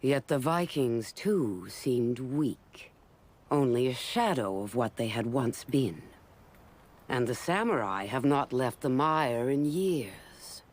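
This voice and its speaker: A woman voicing a ruthless, commanding female warlord in a game cutscene, speaking slowly and gravely with a hushed menace. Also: extremely low pitch, expressive, heavily acted. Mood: grim, menacing. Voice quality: slow, measured, grave delivery with hushed menace. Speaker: villain narrator. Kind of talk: scripted video game cutscene narration.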